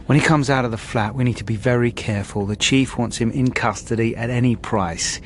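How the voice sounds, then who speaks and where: Measured British police superintendent male actor, mid-pitched, fair-minded, questioning, radio drama with faint noise. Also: natural voice. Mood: wary, calm. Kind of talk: naturalistic radio drama acting.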